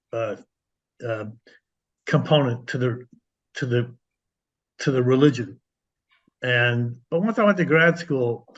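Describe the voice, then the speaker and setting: An older man with an American accent shares a reflective personal account. His medium-pitched voice is measured and halting. The audio is a little dull and lo-fi. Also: a bit bright. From United States. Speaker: congregation member.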